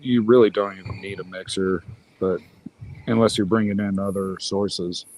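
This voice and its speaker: Low male voice, casual, tosses out quick practical tips. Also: fairly even intonation, darkish tone, a little hiss or hum, low-quality recording. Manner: offhand, gives quick advice. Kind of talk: casual tech chat.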